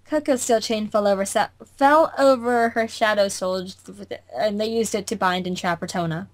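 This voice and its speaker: A woman's voice: mid-range voice, normal speed. Woman narrating a fantasy visual novel. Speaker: fandub narrator. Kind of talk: scripted visual novel narration, amateur read.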